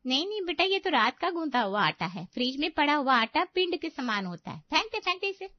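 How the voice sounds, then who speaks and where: A high-voiced, meddling middle-aged aunt from a storybook tale, acted by a woman, coaxing and full of excuses. Her pitch swings in a lively way. The recording is slightly dull. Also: brisk, dry recording. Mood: fussy, evasive.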